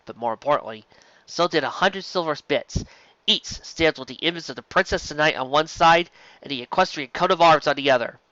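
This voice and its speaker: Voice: mid-range voice, fairly quick, sharp tone, no echo, lo-fi sound. A narrator reading a story aloud from a script. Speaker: audiobook reader of fan fiction.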